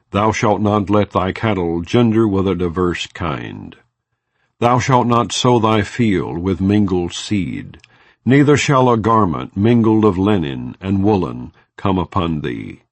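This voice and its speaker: Deep, slow-reading male scripture narrator. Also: animated, no room sound. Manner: solemn, measured, formal. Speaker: audiobook narrator.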